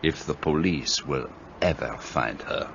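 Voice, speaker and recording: A man's voice: extremely low pitch, measured pace, vocal fry, muffled recording, noisy background, exaggerated voice. An actor playing a shrewd, fussy Belgian detective in a radio play, speaking precisely and courteously as he lays out his reasoning. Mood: explaining.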